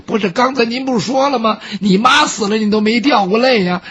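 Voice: mid-range voice, fairly bright tone, slightly rough, muffled recording. 一位说书人扮演一个絮絮叨叨劝慰上司的宫中随从。. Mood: coaxing. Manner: brisk, coaxing, plain-spoken. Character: palace servant consoling his superior, chatty.

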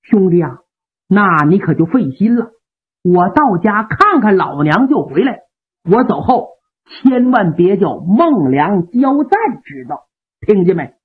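A woman's voice: medium pitch, very animated, measured pace, voice pushed down. A woman voicing a middle-aged male general, worried and earnest, scolding his rowdy sworn brothers. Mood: anxious. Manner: worried, reproachful. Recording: phone-like narrow band.

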